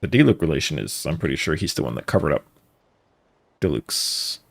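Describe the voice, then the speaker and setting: A man's voice: very deep voice, very animated, a little fast, gravelly. A man streaming a game, chatting casually and reacting aloud to the story. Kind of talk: live game commentary. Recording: stream with game music behind.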